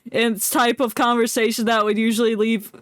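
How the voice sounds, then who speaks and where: A woman's voice: on the high side, little pitch movement, moderate pace, no echo. A woman streaming a video game, giving playful, quipping commentary in casual chat. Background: game music.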